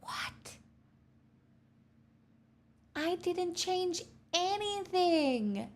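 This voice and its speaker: A woman's voice: very high voice, expressive, very slow. A woman live streamer chatting casually and candidly with viewers, answering their messages with frequent restarts and the odd swear.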